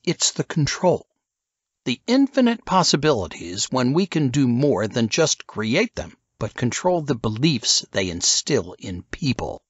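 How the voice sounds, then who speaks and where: Man voicing an emphatic, animated scheming ideologue. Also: medium pitch, a little slow, breathy edge, no room sound, lo-fi sound, voice pushed up. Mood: grandiose, scheming. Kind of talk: audiobook character dialogue.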